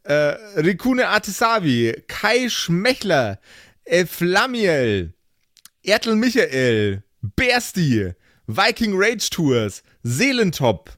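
A high-voiced man hosts a podcast with a very animated melody. He takes his time, chatting casually and dropping playful asides as he reads out names. The sound is close and dry. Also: slow, no echo. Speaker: podcast host. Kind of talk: casual chat and reading out supporter names.

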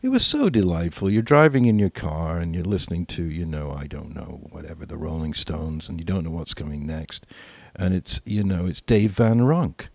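An older man hosting a podcast, chatting reflectively in a halting, rambling way. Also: sits low, lively intonation, gravelly, a little mellow. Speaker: podcast host. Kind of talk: reflective casual chat.

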